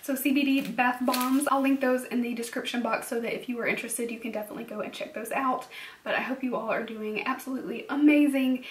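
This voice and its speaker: A young woman talking to camera in a personal vlog, earnest and reassuring, with ums and soft laughs, her voice hoarse and a bit cracked. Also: a little high, steady tone, quick pace, echoey room, recorded from a distance, rough recording. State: losing her voice, slightly cracking. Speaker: beauty vlogger.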